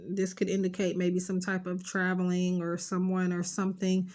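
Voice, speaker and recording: A woman's voice: fairly low pitch, completely flat delivery, normal speed, darkish tone. A woman with a stuffed-up nose giving a casual, rambling tarot card reading, talking directly and reassuringly to her viewers with lots of fillers. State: stuffed up, sniffling.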